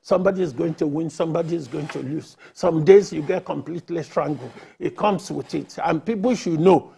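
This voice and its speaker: A man's voice: a little high, a little fast, gravelly, warm tone, breathy edge. A man speaking as a lawyer on a discussion panel, explaining his points. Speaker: lawyer guest.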